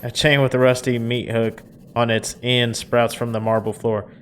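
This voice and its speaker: A man's voice: medium pitch, little pitch movement, normal speed, slight background noise. A man reading a visual novel aloud as a game streamer, mixing narration with casual reactions.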